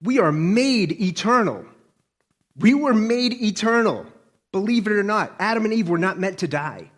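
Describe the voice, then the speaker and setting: A man's voice: high-pitched, lively intonation, moderate pace. A man giving an informal, conversational sermon as a preacher, colloquial and often checking in with listeners.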